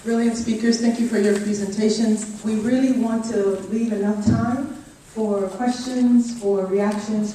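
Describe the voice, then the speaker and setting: Dark-toned woman moderating in an echoey room. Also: mid-range voice. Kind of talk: formal event moderating. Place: indoor meeting room. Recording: echoey room, distant mic, faint noise.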